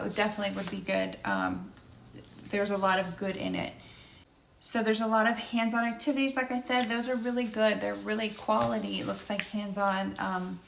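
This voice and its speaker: Middle-aged woman, homeschool mom reviewer, scratchy and gravelly, chatty and unscripted; roomy sound. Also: medium pitch. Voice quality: slightly scratchy, creaky voice. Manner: conversational, meandering. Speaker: homeschooling mother reviewing a curriculum. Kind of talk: casual review vlog, unscripted. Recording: echoey room with faint background noise.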